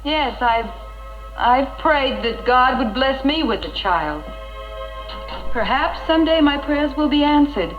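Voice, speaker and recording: A woman's voice: high voice, lively intonation, slow pace. Casting: earnest woman confidante, heartfelt, old film drama, muffled with music behind. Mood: heartfelt, pleading. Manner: gently persuasive, heartfelt. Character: earnest, devout, supportive woman friend. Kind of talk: 1960s educational film acting. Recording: dull 1960s film soundtrack with music.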